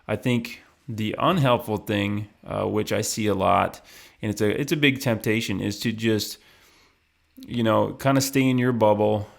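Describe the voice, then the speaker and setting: Low gravelly guy hosting a hunting show, long rambly questions, lots of you know and uh. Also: measured pace. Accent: Michigan. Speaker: podcast host interviewer. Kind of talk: conversational interview.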